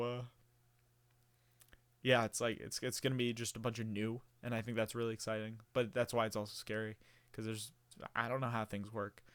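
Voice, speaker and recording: Early-20s male vlogger, mid-pitched, clean resonant tone, loose meandering delivery. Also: clean tone, dry recording. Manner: meandering, filler-heavy, restarts often. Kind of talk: casual rambling monologue.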